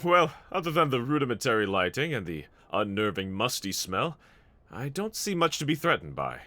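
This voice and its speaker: A man's voice: mid-range voice, big pitch swings, moderate pace, pushed and bright, no room sound. A man playing a bold, earnest, reassuring demon slayer swordsman in an anime audio roleplay, speaking with formal, courteous diction. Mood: intrigued, attentive.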